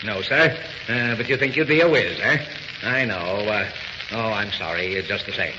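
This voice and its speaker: An actor playing a brusque, excitable newspaper editor in a vintage radio drama, gruff, impatient and full of exclamations. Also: male voice, on the low side, normal speed, natural voice. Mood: dismissive. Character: brusque, excitable, blustering male big-city newspaper editor. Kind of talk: 1940s radio drama acting. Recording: noisy, muffled, reverberant old radio recording.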